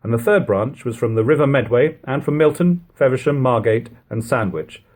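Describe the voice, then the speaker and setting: Male narrator for classic literature: lightly husky tone, animated intonation, measured storytelling delivery. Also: mid-range voice. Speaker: audiobook reader. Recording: lo-fi home recording.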